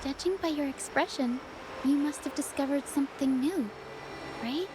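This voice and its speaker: An actress voicing a shrewd, wary and observant young woman in a video game, with a knowing, measured delivery. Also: high voice, close to an everyday voice. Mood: thoughtful. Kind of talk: video game story voice acting. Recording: clear background noise.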